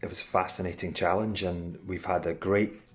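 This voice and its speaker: A man with a deep voice talks casually as an actor being interviewed. Gentle music sits underneath. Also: steady tone, moderate pace.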